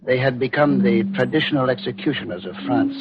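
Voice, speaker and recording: An actor playing a grave, reluctant elderly grandfather and doctor in a 1940s radio drama, speaking in a measured, solemn way. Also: medium pitch, natural voice. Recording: dull, noisy 1940s radio recording.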